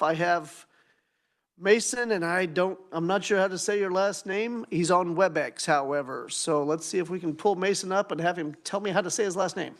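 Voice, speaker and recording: A man runs a legislative committee hearing, moving briskly from one speaker to the next. His voice is pitched high for a man and has a gravelly rasp to it. He keeps a procedural tone throughout. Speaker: committee chair.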